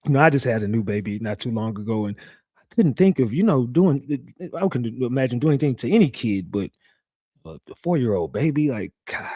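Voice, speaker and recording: A young man with a slightly raspy, recovering voice, hosting a casual live stream and talking loosely to his chat with slang and lots of repeats. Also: medium pitch, lively intonation, fairly quick, darkish tone, no room sound, clean studio sound. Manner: loose, repetitive, talks straight to chat with slang. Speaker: live-stream true crime host. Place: home streaming setup.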